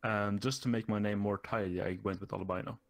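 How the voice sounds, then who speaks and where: Young Norwegian guy, fairly low voice, halting and casual, plenty of fillers, speaking into a desktop mic on a call. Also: steady tone. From Norway. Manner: hesitant, many fillers and restarts. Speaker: guest YouTuber. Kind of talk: casual interview chat.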